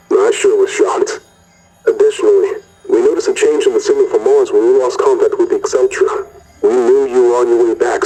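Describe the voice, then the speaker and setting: An actor playing a weary, candid corporate mission controller, husky, in a radio message that mixes official briefing with wry confiding, in a sci-fi audio drama. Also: male voice, mid-range voice, dark tone, poor audio. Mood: measured, wry.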